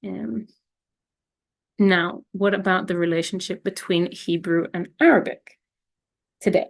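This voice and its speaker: A woman's voice: on the low side, steady tone, measured pace, gravelly. Hesitant woman presenting slides. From Israel. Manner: hesitant, many fillers and restarts.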